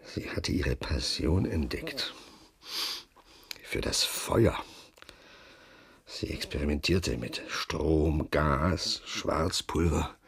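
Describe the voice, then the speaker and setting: An actor playing a middle-aged former lawyer turned novelist in a German radio drama, telling his story in a wry, halting, self-deprecating way with a husky, gravelly voice. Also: a bass voice, expressive, a bit bright, full character voice. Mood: dry, rueful. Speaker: narrator and protagonist.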